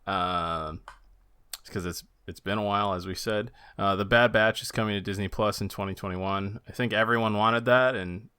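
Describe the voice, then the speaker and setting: Bright, clear low-voiced man. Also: steady tone, normal speed, dry recording, clean studio sound. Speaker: podcast co-host. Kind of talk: casual fan podcast chat.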